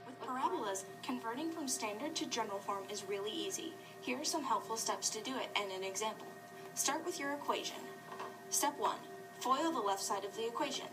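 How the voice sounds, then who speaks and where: A woman's voice: on the high side, lively intonation, a little fast, over music. A young woman giving a scripted math lesson as a student presenter. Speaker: student presenter in a puppet show. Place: classroom. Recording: distant classroom camera.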